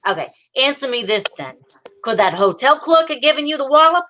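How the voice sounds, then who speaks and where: A woman playing a gruff but fond, exasperated police detective in an old-time radio drama, hardboiled and teasing. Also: high-pitched, very animated, a little fast, sharp tone, a little hiss or hum, heavily acted. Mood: wry questioning.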